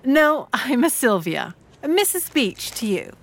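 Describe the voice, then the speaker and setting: A woman's voice: high voice, sing-song, unhurried, heavily acted. An actress playing a shrewd, genteel middle-aged mother in an audio drama, speaking formally and precisely with dry, sceptical wit. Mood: firm, arch. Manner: formal, precise, dryly pointed.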